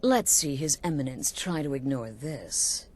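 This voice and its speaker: An actress playing a cool, calculating female leader of an assassins' guild in a fantasy game, deliberate and conspiratorial. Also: rumbling low, expressive, darkish tone, heavily acted. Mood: dark satisfaction. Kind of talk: scripted fantasy video game dialogue.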